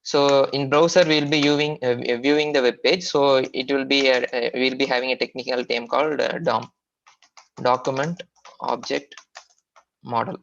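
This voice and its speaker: A man with an Indian accent teaches a technical class in a medium-pitched voice. He explains informally, often hesitating and repeating himself as he goes. The audio is dull and low in fidelity, like an online training recording. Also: little pitch movement, creaky, slight background noise. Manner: halting, repetitive explaining with frequent hesitations. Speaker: software trainer.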